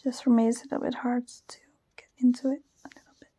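A young woman with a Dutch accent whispering softly and shyly into a close microphone, giggling often and hesitating with many fillers. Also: a little high, level tone, quick pace, slightly rough, no room sound. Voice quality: soft whisper. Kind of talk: whispered personal ramble, ASMR-like.

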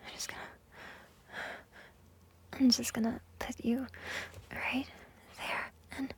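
Woman, high voice, breathy whisper throughout; repetitive, pleading audio-roleplay read. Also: level tone, takes it slowly, darkish tone.

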